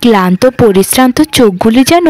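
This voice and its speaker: A woman's voice: a little high, expressive, a little fast, a little mellow, natural voice. A woman voicing a young daughter of a wealthy landlord in an earnest, emphatic cartoon style. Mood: weary. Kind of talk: dramatic cartoon voice acting.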